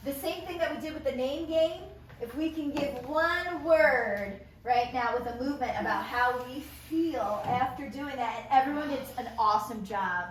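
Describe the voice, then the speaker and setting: A woman's voice: a little high, a bit bright. An encouraging, enthusiastic woman leading a group and reading out stage directions at a table read. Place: echoey room, recorded from afar. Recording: roomy echo, distant mic, faint noise.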